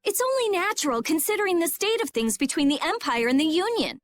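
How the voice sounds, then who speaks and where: A woman voicing a blunt, skeptical teenage girl in an animated role-playing video game, dry and sarcastic. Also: very high-pitched, fast, dry recording. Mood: sarcastic, impatient. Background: faint background music.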